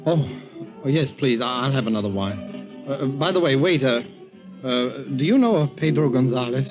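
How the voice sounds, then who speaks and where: A man's voice: mid-range voice, animated, moderate pace, natural voice. A man playing a nervous, evasive scheming husband with a hesitant, stammering manner in a 1940s radio drama. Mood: anxious, impatient. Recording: vintage 1940s radio, narrow band, faint music.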